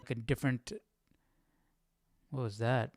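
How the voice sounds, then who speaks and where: A man's voice: mid-range voice, steady tone, a little slow, faint music behind. A man hosting a livestream Bible study, commenting informally and thinking aloud as he follows along. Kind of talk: casual live commentary on a reading.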